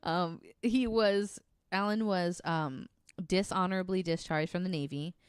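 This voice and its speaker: A woman's voice: on the low side, unhurried, clean tone, no echo, studio quality. A woman co-hosting a casual podcast, mixing wry banter with reading from notes in an informal way. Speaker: podcast co-host.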